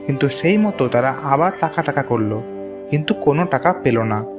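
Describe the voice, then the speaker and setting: Guy narrating a kids moral story, medium voice, background music clearly there. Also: mid-range voice, animated, brisk. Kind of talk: scripted children's story narration.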